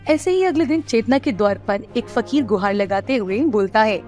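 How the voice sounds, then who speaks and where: A woman giving scripted narration of an animated moral story. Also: on the high side, moderate pace, soft background music.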